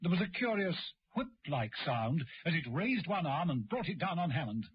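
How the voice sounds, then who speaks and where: Theatrical male narrator, lively pitch. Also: moderate pace, a little hiss or hum. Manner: theatrical, suspenseful, precise. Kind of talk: dramatic scripted radio serial narration. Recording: dull lo-fi old radio recording. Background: dramatic music.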